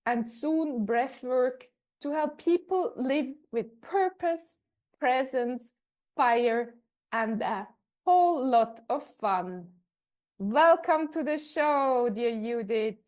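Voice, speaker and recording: A woman hosting a podcast, presenting her guest and questions in a measured, presentational way. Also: high-pitched, darkish tone. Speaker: podcast host. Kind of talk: scripted guest introduction and interview questions.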